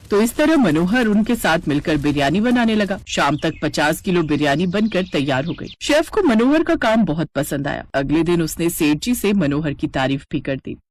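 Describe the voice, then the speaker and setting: A woman's voice: lowish voice, lively intonation, moderate pace, few pauses. Woman telling a story, scripted narration, voice moves up and down a lot.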